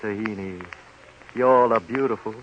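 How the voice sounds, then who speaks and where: An actor plays a stiff male screen idol as a stranded Southern castaway. The voice sits fairly low and is hammy in 1930s radio comedy style. Clear noise covers the distant vintage recording. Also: normal speed, lo-fi sound, natural voice. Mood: stiff, groaning.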